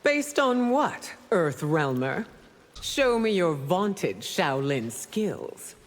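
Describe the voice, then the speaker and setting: Husky, haughty ancient empress. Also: female voice, fairly low pitch, sing-song, drawn-out words, big-room sound, heavily acted. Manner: regal, haughty, composed. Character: proud, ancient, long-lived empress, regal and cool. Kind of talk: video game character acting.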